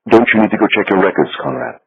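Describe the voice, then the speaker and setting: A man voicing a calm, determined intelligence officer who speaks in a quiet, firm, persuasive way. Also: sits low, fast talker, creaky, slightly rough, phone-quality sound, exaggerated voice. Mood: insistent.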